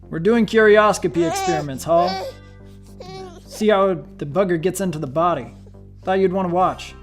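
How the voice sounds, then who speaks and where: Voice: mid-range voice, expressive, moderate pace, faint music behind, natural voice. An actor playing a grim, matter-of-fact medical researcher in a radio play, with a blunt, clinical delivery. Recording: echoey room.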